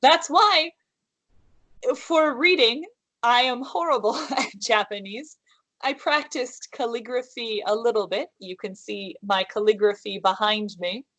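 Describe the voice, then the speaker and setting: A woman from the United States, an English teacher, speaks slowly and deliberately over a video call. Her pitch sits in the middle range, and the sound is dry and close. She phrases things carefully, often restarting and restating. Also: a bit bright, no echo. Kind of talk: interview answers. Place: room at home with calligraphy on the wall.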